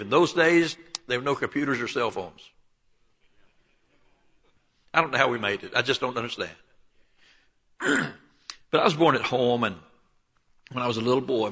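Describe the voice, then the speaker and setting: Older southern preacher, folksy and bright. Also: male voice, lowish voice. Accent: Southern US, Alabama. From southern Alabama. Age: 70s. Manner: folksy storytelling with asides and clearing his throat. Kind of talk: sermon with personal testimony. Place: church.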